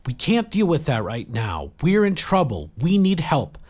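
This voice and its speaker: Frank, urgent woman, raised pitch, man acting. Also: big pitch swings, unhurried. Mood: urgent, frustrated. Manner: direct, urgent, short clipped sentences. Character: weary, frank, determined woman leading a desperate group.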